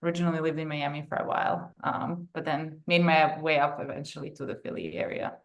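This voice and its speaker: A woman's voice: deep voice, steady tone, fast, creaky, dull audio. A young woman from Serbia, answering conversationally as an interview guest on a video call. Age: young adult. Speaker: guest, therapist and sports psychology consultant.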